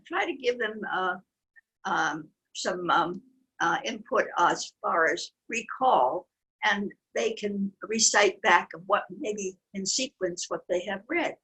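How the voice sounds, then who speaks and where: Friendly kids'-show wizard, halting, lots of fillers, bright lively voice, played by a woman. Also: mid-range voice, low-quality recording. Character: friendly wizard giving parenting tips.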